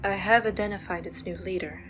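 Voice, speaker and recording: A woman's voice: mid-range voice, fairly even intonation, a little fast, close to an everyday voice, poor audio. An actress playing a dutiful female Sith governor, speaking formally and subserviently in an audio drama. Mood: deferential, tense. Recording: music under the voice.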